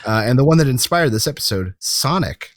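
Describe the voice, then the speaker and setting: A man's voice: mid-range voice, expressive, brisk, dry recording, studio quality. A man co-hosting a podcast in casual conversation about pop culture. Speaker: podcast co-host.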